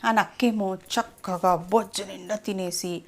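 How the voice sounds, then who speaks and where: A woman's voice: medium pitch, fairly even intonation, a little slow. A woman telling a story to a child in a simple, explanatory way, often asking questions.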